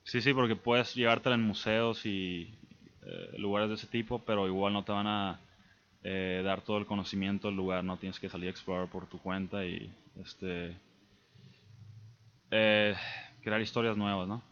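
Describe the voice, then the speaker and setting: A young man with a Mexican accent, a guest on a casual radio interview, relaxed and hesitant with many fillers. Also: fairly low pitch, normal speed, muffled recording. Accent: Mexican Spanish.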